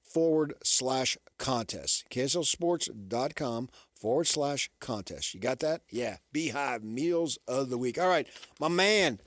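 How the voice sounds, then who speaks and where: A man's voice: medium pitch, expressive, unhurried, a bit bright, no echo. A man hosting a sports radio show, talking casually in a folksy, conversational way and often tossing out rhetorical questions.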